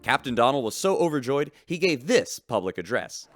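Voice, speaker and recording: An actor playing a tongue-in-cheek broadcaster in a sci-fi radio drama, narrating scripted news with theatrical announcer delivery. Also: male voice, medium pitch, big pitch swings, moderate pace, over music, close to an everyday voice. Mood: wry announcing. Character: smooth, tongue-in-cheek male space-station radio broadcaster.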